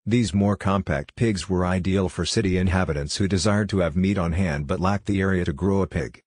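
A man narrating a scripted farming guide in a steady, even reading voice. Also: sits low, fairly quick, dry recording, studio quality.

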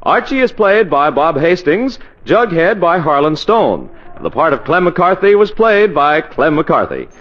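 High-voiced vintage radio announcer. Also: male voice, sing-song, unhurried, few pauses. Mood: cordial. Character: upbeat network radio show announcer. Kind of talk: 1940s radio announcing, scripted. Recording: muffled 1940s radio with music, applause.

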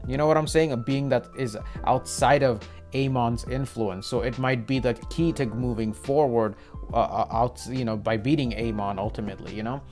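A man's voice: medium pitch, fairly even intonation, normal speed, darkish tone, soft background music. A young man giving casual, rambling commentary to viewers as a reaction video host, peppering it with "you know.". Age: young adult. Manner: rambling, repeats filler phrases like "you know". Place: small indoor room with desk and posters.

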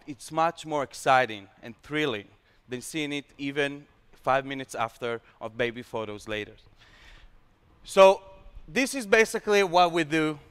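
A young man with an Israeli accent, a startup founder pitching his product on stage with energetic, slightly broken English. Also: smooth. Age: young adult. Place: large hall with stage. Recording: long hall reverb.

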